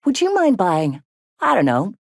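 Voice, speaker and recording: An actress playing a tough, weary rebel medic and second-in-command in a video game, speaking in a blunt, sardonic, foul-mouthed way. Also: female voice, medium pitch, wildly swooping pitch, a little slow, gravelly, no room sound, studio quality, full character voice. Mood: concerned, hesitant. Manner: blunt, earthy, plain-spoken, sardonic. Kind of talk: video game dialogue acting.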